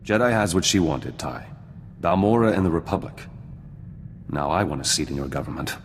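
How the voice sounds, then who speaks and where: A man's voice: low-pitched, expressive, moderate pace, slight background noise. An actor playing a hardened, terse rebel fighter in a video game, grim and suspicious, dropping small words as he talks. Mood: gruff bargaining. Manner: clipped speech that drops small words. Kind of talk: video game dialogue acting. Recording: long hall reverb, music behind.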